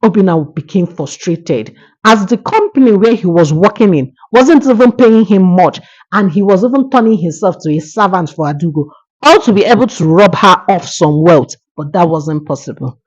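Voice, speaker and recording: A woman's voice: on the low side, animated, moderate pace, breathy edge, lo-fi sound. A woman telling a folktale in an emphatic, moralizing manner. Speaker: storyteller. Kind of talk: oral folktale narration with moral lesson.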